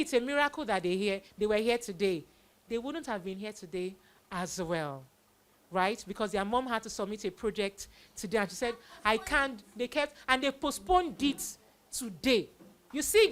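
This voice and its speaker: A woman with a Nigerian accent speaks to children as an event host. Her voice is high and bright, with a forceful edge. She tells stories in an exclamatory way, full of quoted dialogue. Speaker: children's Bible story host. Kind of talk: addressing children at a live event.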